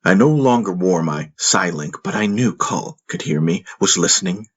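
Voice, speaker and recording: A male narrator tells a fantasy story in the first person. His voice is very deep and rough-edged with huskiness. The delivery is scripted, solemn and dramatic. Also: lively intonation, normal speed. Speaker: audiobook narrator.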